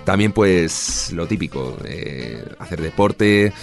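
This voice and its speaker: A man's voice: low voice, expressive, slow pace, faint music behind. A man in his thirties with a Spanish accent, an actor answering interview questions in an easygoing, chatty way. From Spain. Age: 30s. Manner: easygoing, chatty, with frequent restarts. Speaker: actor guest.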